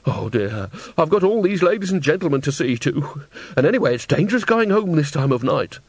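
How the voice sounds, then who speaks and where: A man's voice: on the high side, very animated, a little fast, slightly rough, dry recording, voice pushed up, heavily acted. A man reading a storybook, voicing a frightened, tearful little old woman who speaks in a fretful, plaintive, sniffling way.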